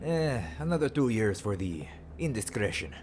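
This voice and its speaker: Actor as a sarcastic laborer with swooping pitch. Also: male voice, very animated, moderate pace, darkish tone, slight background noise, close to an everyday voice. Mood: wry, defiant. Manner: sardonic, grumbling. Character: sarcastic, defiant male indentured laborer. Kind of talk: science fiction audio drama acting.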